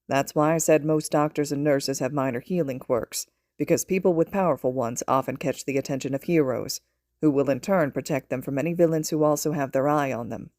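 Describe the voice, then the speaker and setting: A woman's voice: sits low, a little fast, darkish tone, no room sound, clean studio sound. A woman reading scripted fiction aloud as a podfic narrator. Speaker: podfic reader and host.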